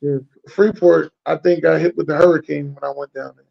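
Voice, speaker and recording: A man's voice: fairly high pitch, fairly even intonation, a little fast, vocal fry, highs cut off. An American man hosting a casual podcast interview, speaking in slangy, laid-back phrases and often repeating himself. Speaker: podcast host interviewing a guest.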